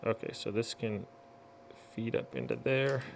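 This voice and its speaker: Casual creaky-voiced man doing an unboxing. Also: on the low side, very animated, measured pace, a little hiss or hum. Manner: folksy, muttering to himself as he works. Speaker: unboxing video host. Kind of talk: casual product unboxing chat.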